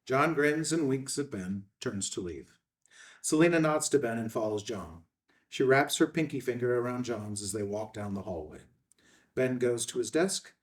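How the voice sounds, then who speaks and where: Guy reading screenplay directions out loud, medium pitch, a little far from the mic. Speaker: stage directions narrator. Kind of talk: scripted narration at a table read.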